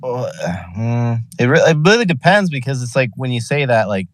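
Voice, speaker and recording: A man's voice: mid-range voice, big pitch swings, moderate pace, a little hiss or hum. A tired man in an online group chat who talks in a scattered, apologetic way and trails off. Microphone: online audio chat. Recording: distant from the mic.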